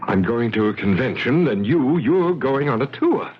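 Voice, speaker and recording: An actor playing an excitable, secretive schemer in a 1970s radio drama, with halting repetitions and theatrical emphasis. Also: male voice, mid-range voice, a little hiss or hum, natural voice. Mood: brisk. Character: excitable, secretive male schemer, condescending to his darling. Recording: dull 1970s radio broadcast recording.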